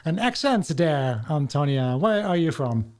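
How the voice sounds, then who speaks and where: A man's voice: on the high side, sing-song, measured pace. Man hosting a chatty interview. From Netherlands. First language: Dutch. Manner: chatty, cites articles, frequent "uh"s. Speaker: podcast host. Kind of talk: interview conversation.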